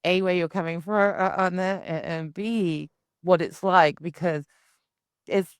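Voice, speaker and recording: Chatty woman host, smooth clear voice, dry close recording. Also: fairly low pitch, steady tone, measured pace, no echo. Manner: chatty, talks through ideas aloud. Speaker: podcast host. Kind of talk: casual interview chat.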